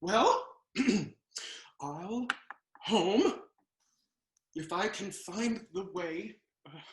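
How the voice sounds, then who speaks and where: A woman acting a foolish, cheated country gentleman in a melodramatic, self-berating stage monologue, in an echoey room. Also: fairly low pitch. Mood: rueful.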